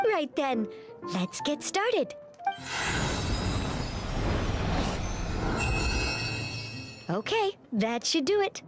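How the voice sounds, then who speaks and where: An actress voicing a polite, scholarly young woman in a video game, speaking in formal, measured phrases. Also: high voice, sing-song, full character voice. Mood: helpful, courteous. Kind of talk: scripted video game dialogue. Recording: game audio with music underneath. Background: game music.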